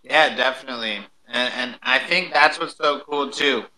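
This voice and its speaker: A man's voice: medium pitch, moderate pace, bright, forceful tone, a little hiss or hum, poor audio. A man hosting a casual panel talk, informal and enthusiastic, with slangy promotional patter. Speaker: host of an audio panel, music performer.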